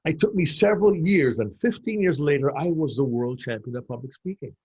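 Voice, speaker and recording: A man's voice: fairly high pitch, lively intonation, fairly quick, a little mellow, husky, dull audio. A man in his fifties from Jamaica, coaching on public speaking as a podcast guest, emphatic and given to repeating his key phrases. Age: 50s. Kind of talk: animated coaching explanation.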